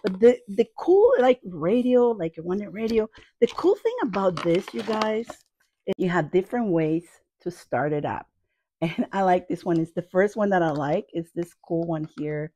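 Low-voiced woman chatting playfully. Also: expressive, normal speed, dark tone, slight background noise. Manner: playful, addresses viewers affectionately, repeats phrases. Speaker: video host reviewing products. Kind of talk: casual chatty product review. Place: small cluttered room indoors.

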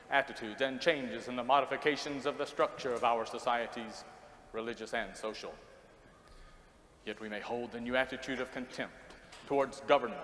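A man's voice: medium pitch, a bit bright, natural voice. Man acting a pompous old-time minister in echoing hall. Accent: American. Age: middle-aged. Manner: pompous, measured oratory. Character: formal, long-winded early 1900s church minister. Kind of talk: scripted stage sermon. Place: large theater stage. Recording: echoey theater hall, background hiss.

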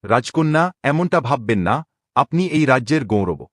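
A man's voice: mid-range voice, expressive, normal speed, dry recording, studio quality, close to an everyday voice. Man acting solemn, burdened young prince. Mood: respectful, reluctant. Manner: formal, grave, deferential. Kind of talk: dramatic Bengali animated story dialogue.

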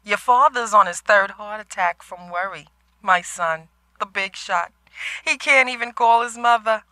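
A woman's voice: medium pitch, measured pace, sharp tone, dry recording. A woman playing a nagging mother who guilt-trips her grown son with dramatic reproach, in a mock radio drama. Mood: nagging, reproachful.

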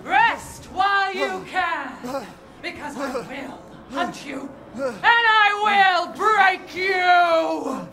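An actress playing a haughty, menacing aristocratic mother in a video game, theatrical and condescending in her drawn-out delivery. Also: very high voice, lively intonation, exaggerated voice. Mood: furious. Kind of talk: dramatic video game acting. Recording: game cutscene with music behind.

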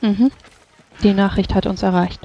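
A woman's voice: medium pitch, steady tone, moderate pace, gravelly, dark tone, muffled recording. A woman playing a reserved, authoritative female mage with formal, curt, hesitant speech.

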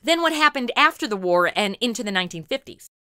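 A woman hosts an interview segment on a podcast. Her voice is bright and fairly high, with animated swings in pitch. It is recorded dry in a small booth. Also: fast, clear, resonant tone, dry recording. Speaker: podcast host.

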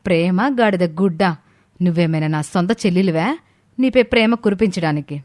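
A woman voicing a sharp, jealous, scheming young daughter-in-law in a family story, curt and sarcastic. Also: on the low side, fairly quick, dry recording, clean studio sound, natural voice. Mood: confrontational. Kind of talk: Telugu moral story dramatization.

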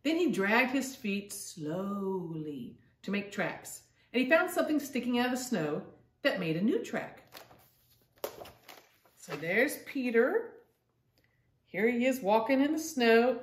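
A woman's voice: on the high side, very animated, a little slow, slight background noise. A middle-aged woman hosting a storytime, reading a picture book aloud and chatting directly to young listeners in simple words. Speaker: storytime host reading to grandchildren. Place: living room at home, indoors. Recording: roomy echo, distant microphone.

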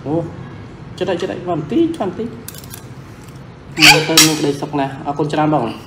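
A man's voice: fairly high pitch, lively intonation, fast, very noisy. A man in his thirties chatting casually while eating lunch at home, filmed on a phone at the table. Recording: echoey room with clinking cutlery. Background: cutlery and dishes clinking.

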